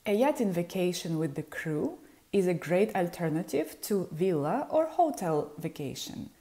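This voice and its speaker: A woman's voice: fairly low pitch, big pitch swings, normal speed, mellow. A woman in her thirties from Ukraine with a Ukrainian accent, a yacht charter specialist speaking friendly and explanatory to camera in a small room at home through an earphone mic. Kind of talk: informative vlog talk to camera. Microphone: earphone mic, webcam framing.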